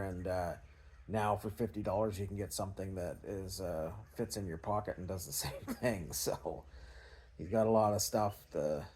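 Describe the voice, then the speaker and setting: A man's voice: low-pitched, dark tone, slightly rough. A Canadian man talking in a casual, unscripted vlog style, rambling and hesitant in a self-effacing way. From Canada, small-town Ontario. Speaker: scrap recycling vlogger.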